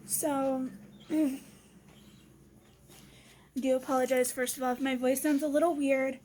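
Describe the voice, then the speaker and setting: A woman's voice: sits high, little pitch movement, unhurried, mellow, a little hiss or hum. A woman vlogger venting casually, blunt and exasperated, in slangy everyday speech.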